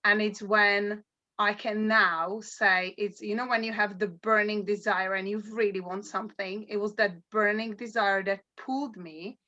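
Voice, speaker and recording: A woman's voice: medium pitch, fairly even intonation, normal speed, pushed and bright, muffled recording. A woman from Slovakia, a guest sharing her personal story on a call, earnest and searching for words.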